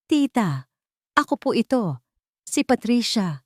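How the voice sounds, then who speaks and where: A woman's voice: a little high, big pitch swings, slow pace, no room sound, clean studio sound, voice pushed up, heavily acted. Woman acting a sugary, scheming young fiancée. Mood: falsely sweet. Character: scheming young fiancée feigning sweet concern.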